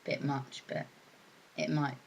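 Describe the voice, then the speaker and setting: A woman's voice: sits low, little pitch movement, takes it slowly, gravelly, highs cut off, a little hiss or hum. A woman chatting casually as an interviewee, tentative and hedging as she goes. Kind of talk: casual chat.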